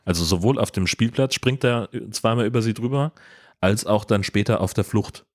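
Male podcast talker, deep voice, dry ironic film commentary. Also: moderate pace. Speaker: podcast participant. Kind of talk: casual film discussion.

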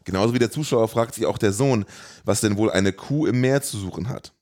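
A man with a fairly low voice hosts a podcast. He reads a scripted film retelling in a wry, tongue-in-cheek way. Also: brisk, darkish tone. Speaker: podcast host. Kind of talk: scripted film recap narration.

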